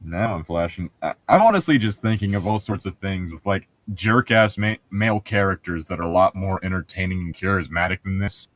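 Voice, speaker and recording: A man's voice: low-pitched, fairly quick, few pauses, a bit bright, no echo. A man doing casual, mocking read-along commentary as a show host, jokey and profane as he rambles. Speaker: host of a comedic book-reading show.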